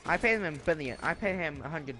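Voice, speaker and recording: A man's voice: a little high, expressive, a crowd in the background. A teenage boy from Britain with a British accent, arguing and joking with friends over a headset during a shooter game. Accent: British English. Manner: argumentative, quick to protest. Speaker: gamer friend. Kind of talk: casual game chat. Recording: gunfire from the game clearly behind.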